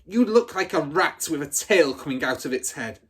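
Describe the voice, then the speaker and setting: A man voicing a huge, bullying headmistress in a storybook, barking and shouting with menace. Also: on the high side, lively intonation, normal speed, breathy edge, voice pushed up. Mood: furious bellowing.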